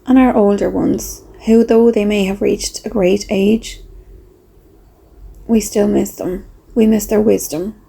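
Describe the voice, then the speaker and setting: Female meditation guide: unhurried, husky and breathy, soft reflective delivery with pauses. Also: medium pitch, fairly even intonation.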